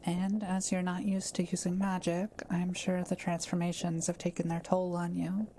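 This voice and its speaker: A woman playing a kind, soft-spoken forest witch in an intimate audio roleplay, talking to the listener in gentle, formal, old-fashioned wording. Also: on the low side, completely flat delivery, moderate pace, a little hiss or hum, natural voice. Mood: warm, hospitable.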